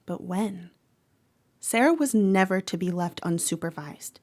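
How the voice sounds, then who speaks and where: A woman playing a troubled, protective teenage girl who narrates a horror audio drama in a measured, dramatic way. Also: fairly low pitch, lively intonation, darkish tone. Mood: hushed, disturbed. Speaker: actor narrating. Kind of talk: first-person horror audio drama monologue.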